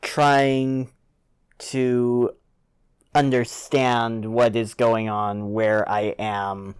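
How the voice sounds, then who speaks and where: A man's voice: medium pitch, flat delivery, very slow, smooth. A man chatting casually as a player in a tabletop role-playing game.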